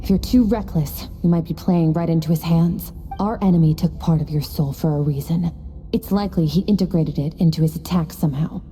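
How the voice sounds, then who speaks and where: Game casting: woman to voice aloof commanding swordswoman mentor, deep and very mellow, detached delivery, faint music underneath. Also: natural voice. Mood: analytical, composed. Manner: detached, measured, imperious. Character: aloof, coolly commanding woman warrior mentor, stern and unsentimental. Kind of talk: video game voice acting.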